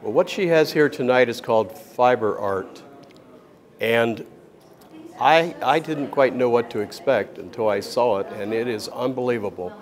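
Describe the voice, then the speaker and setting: A man's voice: medium pitch, moderate pace. An older man interviewing a guest in a casual, folksy and complimentary way.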